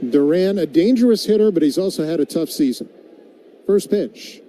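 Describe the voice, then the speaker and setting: Male baseball announcer, very dark mellow tone, slightly high pitch, live play-by-play, faint outdoor noise behind. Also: expressive, normal speed, poor audio. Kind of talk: live radio baseball commentary. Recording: background noise of an outdoor ballpark.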